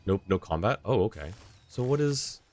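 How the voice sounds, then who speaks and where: A man's voice: lowish voice, lively intonation, moderate pace, a little mellow, a little hiss or hum. A man streaming a game live, chatting casually and rambling as he thinks aloud. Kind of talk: casual livestream chat.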